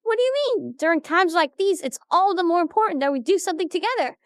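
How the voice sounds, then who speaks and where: A woman playing a peppy, insistent young idol friend in an animated drama. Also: very high voice, quick pace, no room sound, clean studio sound. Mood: eager, insistent.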